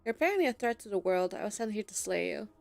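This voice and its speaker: Medium-pitched woman doing casual game commentary. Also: very animated, racing, vocal fry, darkish tone. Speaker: gamer playing through a visual novel. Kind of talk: casual let's play commentary, reading choices aloud. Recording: faint game music behind the voice.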